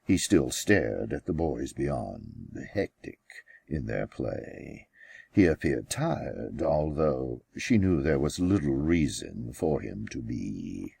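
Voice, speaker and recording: A man reading his own fiction as an audiobook, with deliberate, dramatic phrasing and pauses. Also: very deep voice, big pitch swings, vocal fry, a little mellow, breathy edge, no room sound. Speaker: author reading own novel. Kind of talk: scripted audiobook narration.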